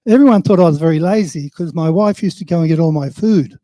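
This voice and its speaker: Older Australian man, high-pitched and mellow. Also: quick pace, dry recording. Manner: wry, self-deprecating, plain-spoken. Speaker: conference speaker on infrastructure. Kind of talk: informal conference presentation.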